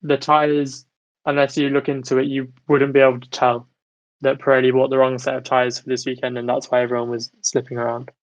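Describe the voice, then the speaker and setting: A man's voice: medium pitch, monotone, fairly quick, dry recording, poor audio. A man hosting a casual sports discussion, giving opinions and putting questions to the others in an argumentative way. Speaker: podcast host steering discussion.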